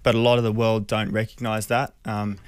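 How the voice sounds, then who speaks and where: Low, even-toned Australian man, earnest. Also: clean tone, dry recording. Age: 30s. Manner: earnest, hesitant, measured. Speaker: radio interview guest. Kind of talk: personal storytelling in an interview. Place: small radio studio. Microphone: close studio microphone.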